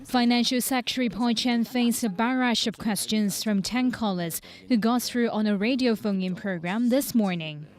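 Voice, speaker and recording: A woman's voice: on the high side, normal speed, few pauses, a little mellow. A woman narrating a scripted news package as a reporter.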